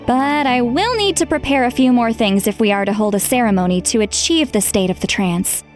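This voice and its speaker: A woman playing a responsible, frank young female leader in a video game, speaking in a measured, earnest way. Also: fairly high pitch, lively intonation, soft background music, close to an everyday voice. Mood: decisive, businesslike.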